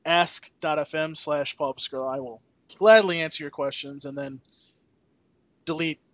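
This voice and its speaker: A man with a fairly high voice hosts a podcast in a loose, joking style with some salty language. The sound is dry and a bit dull, like a lo-fi voice track. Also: fairly even intonation, moderate pace, a bit bright, no room sound. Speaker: podcast host. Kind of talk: casual podcast chat.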